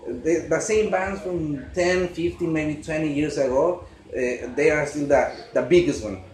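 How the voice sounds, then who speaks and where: A man around thirty with a Mexican Spanish accent, a bassist speaking earnestly and haltingly as he searches for English words. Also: a little mellow. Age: 30s. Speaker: bassist in a touring band. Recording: echoey room with crowd noise.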